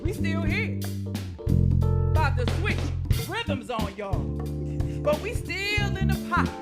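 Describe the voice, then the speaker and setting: A jazzy, knowing female storyteller, acted by a woman addresses the crowd in slangy, rhythmic spoken word. Her high voice swings widely in pitch, often near singing, with prominent guitar music behind it. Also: very animated, slow, heavily acted. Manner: lilting, half-sung, slangy.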